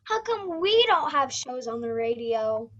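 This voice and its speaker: A woman's voice: high voice, very animated, unhurried, a bit bright, highs cut off, exaggerated voice. A woman playing a matter-of-fact, know-it-all young grandchild in an amateur radio play. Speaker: student radio play performer.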